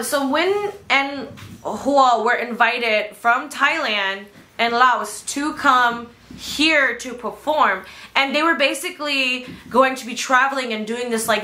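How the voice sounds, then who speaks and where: A woman's voice: on the high side, sharp tone. A young woman with an American accent chatting casually as a podcast co-host, rambling with slang and some swearing. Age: young adult. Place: small living room, on a couch. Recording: echoey living room.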